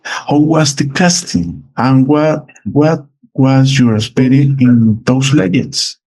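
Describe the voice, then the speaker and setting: A man hosting an interview, asking questions in a halting, hesitant way and often repeating words. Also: medium pitch, darkish tone, poor audio. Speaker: interview host.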